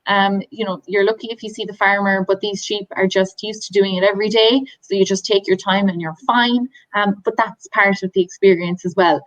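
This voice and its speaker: A woman's voice: mid-range voice, fairly even intonation, a little fast, hardly any pauses, dry recording, highs cut off. An Irish woman from a tour company, chatting enthusiastically through a slide presentation with lots of ums and restarts. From Ireland. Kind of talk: presenting slides on a video call.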